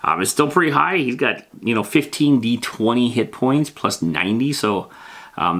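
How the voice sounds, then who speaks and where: Low-voiced man with very animated delivery. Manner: informal, emphatic asides amid reading rules aloud. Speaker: host explaining tabletop game monsters. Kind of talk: casual, rambling monster lore commentary.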